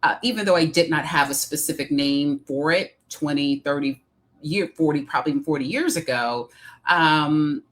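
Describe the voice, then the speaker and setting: A middle-aged woman from Detroit with a Midwestern accent, speaking as an interview guest in a measured, reflective way. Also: low voice, fairly bright tone. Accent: Midwestern American. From Detroit, Michigan. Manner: measured, reflective, many um fillers. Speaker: guest, executive coach. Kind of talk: interview conversation.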